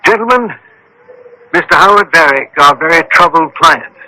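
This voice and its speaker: An actor playing a brisk, self-assured doctor-detective in a vintage radio mystery, formal, authoritative and dryly witty. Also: male voice, fairly high pitch, lively intonation, bright, forceful tone. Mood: brisk, commanding. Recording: noisy old radio broadcast recording.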